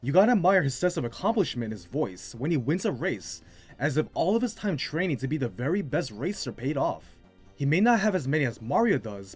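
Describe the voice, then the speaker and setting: Male video host, fairly high lively voice, slightly husky, scripted commentary, music behind.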